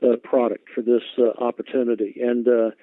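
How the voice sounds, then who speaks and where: A man's voice: mid-range voice, level tone, normal speed, no room sound. Man presenting over a phone line. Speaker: co-presenter on a business conference call. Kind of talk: informal product presentation. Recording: muffled phone conference line.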